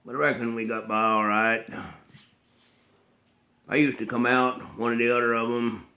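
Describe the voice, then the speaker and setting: A man playing a slow, simple, haunted backwoods man with a rural Southern US accent, delivering a halting, plainspoken dramatic monologue in a mumbled voice with throaty grunts and hums. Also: mid-range voice, flat delivery, sharp tone. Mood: flat, halting.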